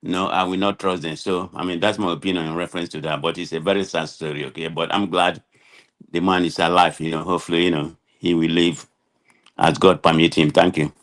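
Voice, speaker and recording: A man's voice: low-pitched, little pitch movement, fairly quick, fairly bright tone. A man speaking as a discussion guest, giving an unscripted personal opinion emphatically, with repeated phrases.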